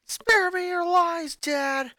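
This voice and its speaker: A woman voicing an angry, resentful young boy who rants and shouts at his family. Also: very high-pitched, takes it slowly, dry recording, studio quality, voice pushed up, exaggerated voice. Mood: furious. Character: furious boy, eleven-year-old, bitter and resentful.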